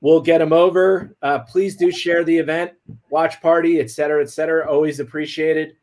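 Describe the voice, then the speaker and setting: A man's voice: fairly high pitch, flat delivery, moderate pace, darkish tone. A man hosting an online talk stream, upbeat and laid-back, running through housekeeping and introducing a guest. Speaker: host. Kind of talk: casual livestream hosting.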